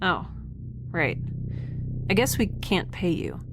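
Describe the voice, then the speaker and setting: Cheerful rambly young space rebel gal, lowish voice, bit of background noise. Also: female voice, huge pitch swings, slow pace, vocal fry, full character voice. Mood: cheerful, awkward. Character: chatty, eager, naive young woman mutineer in space. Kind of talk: audio drama acting, conversational.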